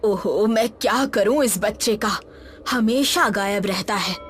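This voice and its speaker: A woman's voice: mid-range voice, takes it slowly, fairly bright tone, soft background music. A woman voicing a protective, fearful old village grandmother in a horror story, with a solemn maternal way of telling. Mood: worried.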